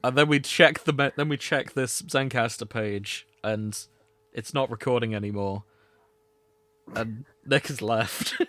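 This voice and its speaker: A man's voice: medium pitch, a little slow, fairly bright tone, no room sound. A man hosting a podcast in a casual, chatty style. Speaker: podcast host.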